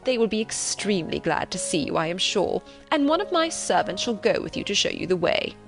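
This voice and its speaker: A woman voicing a fussy, gentle old gentleman who talks on courteously and fretfully in an audiobook. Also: mid-range voice, sing-song, brisk. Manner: courteous, fretful, long-winded. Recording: relaxing music behind the voice.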